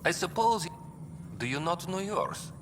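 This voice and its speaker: Officious game bureaucrat, deadpan, formal, lively pitch swings, long reverb, noisy background. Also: male voice, measured pace. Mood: wry surprise. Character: dry, officious male bureaucrat managing cryo deployments. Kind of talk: video game character dialogue. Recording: reverberant hall, clear background ambience.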